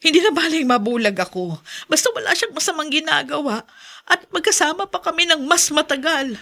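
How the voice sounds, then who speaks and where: A woman's voice: high voice, animated, a little fast, bright, forceful tone, heavily acted. A Filipino actress playing a worried, forgiving mother in a radio drama, pleading and emotional. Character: worried, forgiving, long-suffering mother of a wayward son.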